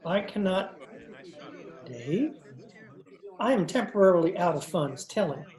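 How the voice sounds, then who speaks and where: An actor playing a cultured, nervous judge and pool hustler, speaking in a fussy, theatrical old-time radio style. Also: male voice, high-pitched, very animated, a little slow, a little mellow. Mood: wary, curious. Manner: pompous, fussy, theatrical speech. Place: indoor room, recorded over video call. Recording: roomy, echoing video-call recording.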